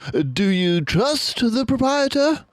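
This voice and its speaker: A man voicing a calm, courteous and inquisitive male mouse detective in a storybook, with measured questioning. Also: extremely high pitch, no room sound, clean studio sound, voice pushed up, heavily acted.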